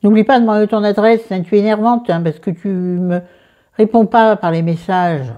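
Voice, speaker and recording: A woman's voice: medium pitch, normal speed, dark tone, lo-fi sound. A middle-aged woman with a southern French accent, chatting casually and self-mockingly about her makeup and skincare. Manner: chatty, self-mocking, talks to viewers and friends by name. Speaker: beauty vlogger.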